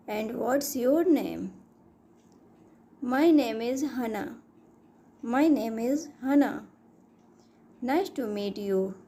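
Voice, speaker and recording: Woman, very slow, mellow tone, higher voice, every line said twice, learner drill. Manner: reads each line twice, slowly and clearly. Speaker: English teacher.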